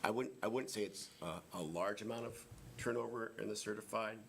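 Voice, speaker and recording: A man's voice: on the low side, brisk, creaky, fairly bright tone, a little hiss or hum. A man, a school administrator, answering board members' questions at a public meeting, hesitating and restarting often. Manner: hesitant, many restarts and fillers.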